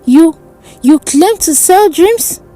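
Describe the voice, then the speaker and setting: A woman voicing an insecure, power-hungry male village chief in a dark folk tale, blustering and demanding. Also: very high-pitched, animated, takes it slowly, faint music behind, voice pushed up, full character voice. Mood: challenging. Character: insecure short village chief, man craving absolute power.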